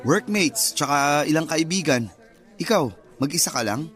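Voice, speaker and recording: Friendly filipino male nurse meeting his old sweetheart, radio drama, kinda high voice, soft music behind. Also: animated, normal speed. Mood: friendly, relaxed.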